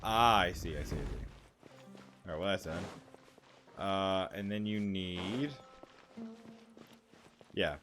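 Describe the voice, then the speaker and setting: A man commenting casually as he plays a video game. His voice sits on the low side and his remarks are offhand and wry. Game music runs clearly behind him. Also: slow, pauses often, a bit bright.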